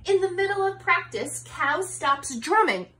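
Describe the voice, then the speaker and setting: A woman in her thirties reading a picture book aloud to young children in an expressive, engaging way. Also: extremely high pitch, measured pace. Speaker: storybook reader for children. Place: small living room, indoors on a couch.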